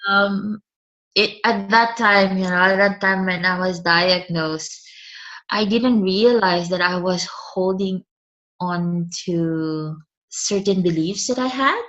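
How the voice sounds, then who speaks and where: A woman's voice: medium pitch, slow pace, fairly bright tone, highs cut off. A woman, a guest speaking hesitantly and often restarting her words. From Philippines. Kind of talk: conversational interview.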